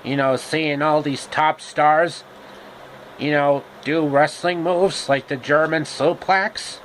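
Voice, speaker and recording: A man narrating a homemade story with deliberate, halting phrasing. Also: a little high, steady tone, a bit bright, a little hiss or hum, low-quality recording.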